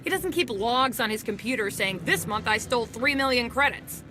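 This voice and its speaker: A woman's voice: a little high, expressive, over music, lightly acted. Wry, businesslike undercover agent, played by a woman. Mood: sardonic. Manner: brisk, matter-of-fact, dryly sardonic. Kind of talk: video game dialogue acting.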